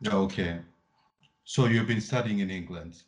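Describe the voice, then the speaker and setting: A man's voice: low voice, a little slow. A man from Tanzania hosting a podcast interview, asking questions hesitantly with many filler sounds. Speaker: podcast host. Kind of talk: interview conversation.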